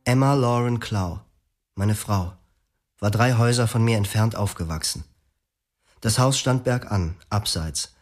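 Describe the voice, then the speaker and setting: A man's voice: lowish voice, unhurried. A brooding husband narrating his past. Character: grieving husband telling his story. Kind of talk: radio drama monologue.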